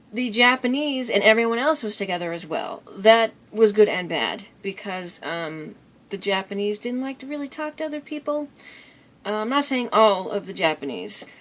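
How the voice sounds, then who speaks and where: A woman vlogger telling stories in a casual, rambling way, easily drifting off track. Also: medium pitch. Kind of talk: casual storytelling vlog.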